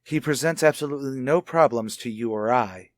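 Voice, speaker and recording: A man's voice: mid-range voice, a little fast, no room sound, studio quality, lightly acted. An actor playing a stern, stubborn husband and father in a radio soap, speaking formally and with sarcasm. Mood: sarcastic, dismissive. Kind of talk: radio soap opera acting.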